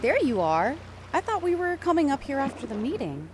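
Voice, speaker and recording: An actress playing a devoted, headstrong biologist on an oil rig in an audio drama, emotional and earnest. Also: female voice, high-pitched, sing-song, fairly quick. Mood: caring. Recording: music under the voice.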